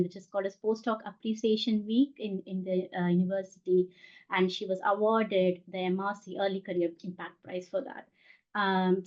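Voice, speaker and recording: Woman session chair introducing a speaker over a lo-fi call, mid pitch, halting. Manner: halting, loose phrasing. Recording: dull lo-fi video call.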